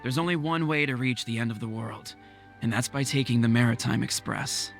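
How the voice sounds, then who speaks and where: An actor playing a loyal, dutiful royal guard officer in a video game, speaking formally and earnestly. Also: male voice, medium pitch, fairly even intonation, fairly quick, gravelly, natural voice. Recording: music under the voice.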